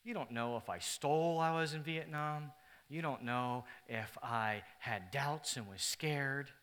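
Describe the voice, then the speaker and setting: A man preaching a sermon to his congregation, moving between loud, emphatic stretches and hushed, earnest ones, with joking asides to the room. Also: medium pitch, measured pace. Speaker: pastor.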